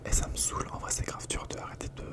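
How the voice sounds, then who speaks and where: A young man whispering a rambling ASMR chat from home, slangy and full of fillers, close to the microphone. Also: fast. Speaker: ASMR creator.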